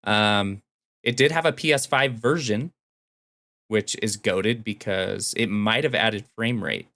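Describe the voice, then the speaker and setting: A man's voice: on the low side, slow, gravelly, fairly bright tone, no echo. A man chatting casually and enthusiastically about video games as a podcast co-host.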